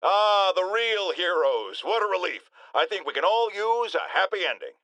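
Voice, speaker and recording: A high-pitched, blustering radio ranter. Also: male voice, big pitch swings, normal speed, bright, forceful tone, dry recording, full character voice, rough recording. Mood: pitching. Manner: pompous, sarcastic, emphatic, theatrical. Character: blustering middle-aged male radio host, pompous and outraged. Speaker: talk radio host. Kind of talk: ranting radio monologue.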